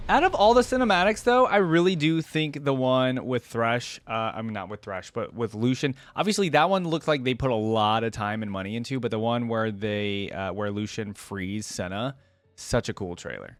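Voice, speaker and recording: A man giving casual, enthusiastic reaction commentary in fan slang. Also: mid-range voice. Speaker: reaction video host. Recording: loud music and game audio behind. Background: music from the videos being watched.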